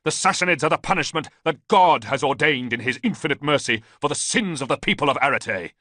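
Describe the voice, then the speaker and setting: Man voicing a booming fanatical priest. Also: animated, brisk, sharp tone, dry recording, studio quality. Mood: calm zealous. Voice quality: booming, chanting. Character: fanatical bearded male priest ranting prophecy.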